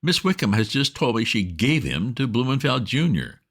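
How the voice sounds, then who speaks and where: A man voicing a flustered, excitable young gentleman who bursts out in indignant exclamations in a storybook reading. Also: mid-range voice, pitched above the performer's own voice. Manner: exclamatory, indignant, rapid outbursts.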